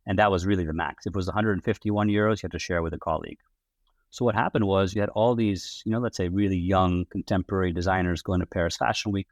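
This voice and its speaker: A man in his forties from the Netherlands with a Dutch accent touched by American English, telling his story as a podcast guest in a relaxed, chatty way full of fillers. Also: deep voice, no echo. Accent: Dutch with American-tinged English. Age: 40s. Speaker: podcast guest, hotel brand executive. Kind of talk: casual interview storytelling.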